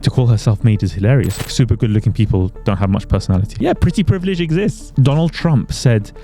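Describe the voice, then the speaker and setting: A man in his thirties from Iraq, raised in London, chatting casually as an author and podcast guest in a relaxed way. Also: mid-range voice, quick pace, soft background music. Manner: relaxed, chatty, frequent restarts. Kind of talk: casual interview chat.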